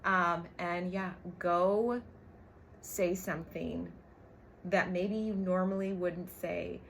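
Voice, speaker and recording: A woman's voice: on the low side, steady tone, slow, vocal fry, fairly bright tone, slight background noise. A woman coach giving an emphatic motivational livestream talk, swearing casually and often checking in with short tag questions. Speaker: brand coach and business co-owner.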